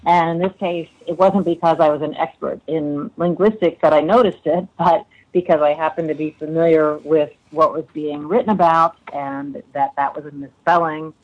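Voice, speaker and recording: Woman guest, calm and measured, dull muffled recording. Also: low voice. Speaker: linguist guest. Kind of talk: casual interview answers. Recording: dull lo-fi sound.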